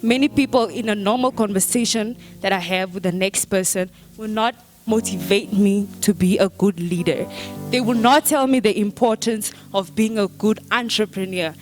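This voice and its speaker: A woman's voice: medium pitch, normal speed, a little mellow, soft background music. A young woman of eighteen, a school deputy head girl, giving an earnest, storytelling speech at a book launch.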